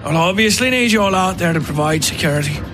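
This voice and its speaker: A man narrating puts on an officious little train manager from a dark audio drama. His voice is raised in pitch, brisk and wearily sardonic, with music underneath. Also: expressive. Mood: weary, curt. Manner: brisk, businesslike, foul-mouthed.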